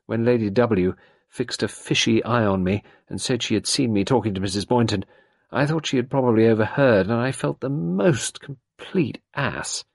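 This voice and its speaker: A man's voice: mid-range voice, moderate pace, vocal fry, dry recording, studio quality, pitched above the performer's own voice. Sarah, a frightened young woman, as a man puts her on. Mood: embarrassed.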